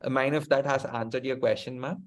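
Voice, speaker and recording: A man's voice: medium pitch, fairly even intonation, a little fast. Polite young man on a video call. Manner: polite, reassuring, says ma'am often. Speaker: webinar presenter. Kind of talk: answering questions in a webinar. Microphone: webcam on a video call.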